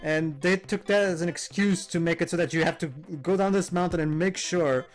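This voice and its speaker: A man's voice: high voice, fairly quick, faint music behind. A man hosting a let's play, talking casually and explaining at length with lots of fillers and restarts. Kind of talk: casual gameplay commentary.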